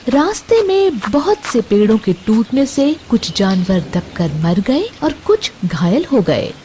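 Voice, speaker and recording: A woman's voice: mid-range voice, mellow, a little hiss or hum. A woman narrating a scripted children's bedtime story, expressively addressing young listeners. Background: music.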